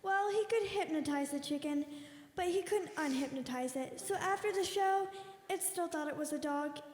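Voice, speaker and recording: A woman's voice: sits high, moderate pace, darkish tone, close to an everyday voice. A woman playing a blunt, wry veteran crew member backstage at a radio show. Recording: echoey hall, some noise, clicks.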